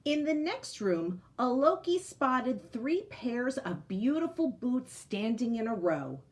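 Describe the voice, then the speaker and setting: A woman's voice: on the high side, very animated, takes it slowly. A woman reading a picture book aloud to children, as a teacher. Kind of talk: storybook read-aloud.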